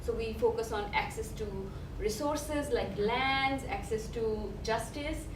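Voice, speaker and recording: A woman's voice: on the high side, a little slow. Distant young woman from Bangladesh speaking. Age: young adult. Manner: hesitant, many fillers and restarts. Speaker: youth activist speaker. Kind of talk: panel talk. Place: event hall. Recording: echoey room, from far off, noisy.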